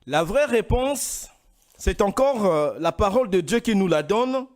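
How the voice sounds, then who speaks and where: A male preacher from Congo delivering a sermon in a high voice that swings widely in pitch. He speaks slowly and deliberately, climbing into louder, emphatic runs. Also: expressive. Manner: emphatic, rising into louder, higher passages.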